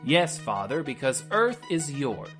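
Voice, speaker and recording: An actor playing a sarcastic young freedom fighter in a sci-fi audio drama. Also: male voice, fairly high pitch, very animated, measured pace, a bit bright, faint music behind.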